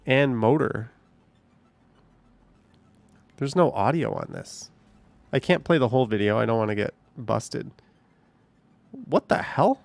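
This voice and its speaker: Gravelly man chatting casually. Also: mid-range voice, expressive, measured pace, pauses often, darkish tone, no echo. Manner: chatty, thinks aloud, swears casually. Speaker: live stream host. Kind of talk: casual live stream commentary.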